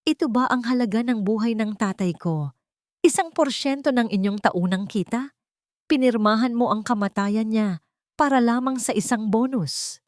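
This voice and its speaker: A woman's voice: a little high, moderate pace, a little mellow, dry recording, clean studio sound, voice pushed up, lightly acted. A woman voicing a grieving female pilot who speaks with bitter defiance. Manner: bitter, unafraid.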